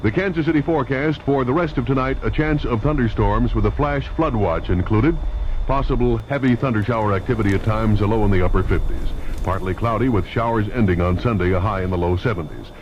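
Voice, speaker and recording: A man's voice: lowish voice, brisk, a little mellow, a little hiss or hum. A man reading sports scores and weather on the radio in a formal news style. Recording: dull old radio broadcast, music behind.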